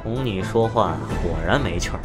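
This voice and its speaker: An actor playing a chatty, teasing, genial immortal in an audio drama, with a playful, wry manner. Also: male voice, lowish voice, moderate pace, close to an everyday voice. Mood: amused, wry. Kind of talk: Chinese audio drama acting. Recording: music behind the voice.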